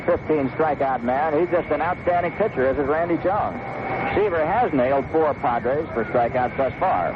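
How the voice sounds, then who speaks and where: A middle-aged American man calling baseball play-by-play on 1970s radio, conversational and folksy, in short clipped phrases. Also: on the high side. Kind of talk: 1970s live radio sports commentary with sponsor reads. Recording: muffled vintage AM radio, loud noise.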